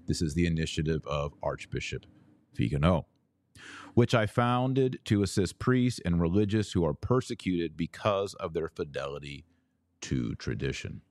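A man hosting a religious news podcast, commenting and reading aloud with deliberate, emphatic phrasing and drawn-out words. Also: sits low, expressive, darkish tone, dry recording. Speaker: podcast host.

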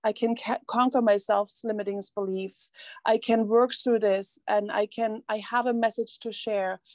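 A woman author whose first language is not English, answering interview questions earnestly, with halting restarts. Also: mid-range voice, steady tone, a little mellow, dry recording. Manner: earnest, halting, repeats words. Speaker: guest author.

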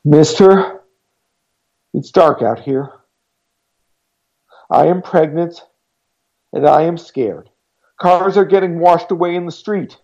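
A man's voice: takes it slowly, pauses often, dark tone, muffled recording. A man voicing a frightened, pregnant teenage girl who begs to be let in out of a storm. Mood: pleading. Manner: pleading, shaky, high.

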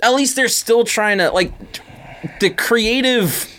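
A woman's voice: on the high side, takes it slowly, a bit bright, a little hiss or hum. A woman co-hosting a podcast in casual chat, talking in an informal, slangy way. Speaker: podcast co-host.

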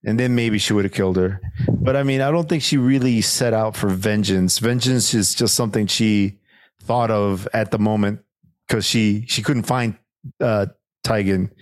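A man's voice: on the low side, normal speed, dry recording. A Mexican-man, co-hosting a casual show review, rambling and laughing often. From Mexican-American, United States. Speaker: podcast co-host.

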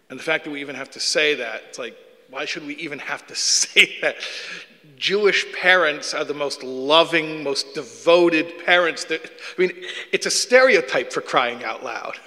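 Man with a Chicago accent, guest lecturer: earnest and self-deprecating, bright tone, lecture-hall echo. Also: medium pitch. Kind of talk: public lecture with jokes.

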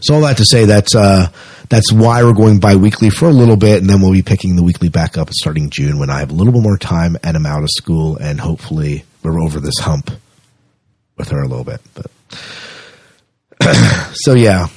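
A man with a fairly low, husky voice hosts a podcast in casual talk. He speaks conversationally and sometimes doubles back on his words. The sound is close and very dry. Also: fairly quick, darkish tone, no echo. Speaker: podcast host.

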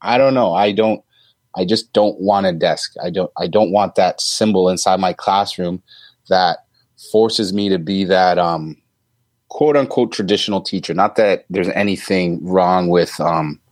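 A man in his thirties, a teacher, answering interview questions in a deep voice, thoughtful and hesitant with many ums and restarts. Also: steady tone, a little slow, a little mellow, no room sound. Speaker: teacher guest.

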